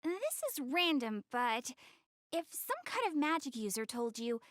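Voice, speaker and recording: Young goddess girl, anime game dub, high voice, animated intonation, proud but easily flustered, dry close recording. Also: a little slow, no room sound. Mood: curious, teasing. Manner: expressive, hesitant when covering up embarrassment. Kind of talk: anime game English dub, addressing the player directly.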